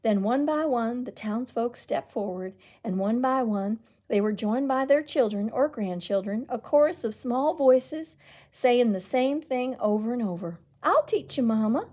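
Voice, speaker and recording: A woman reading a picture book aloud as a storyteller, with expressive, emphatic delivery. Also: on the high side, unhurried. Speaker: children's librarian storyteller.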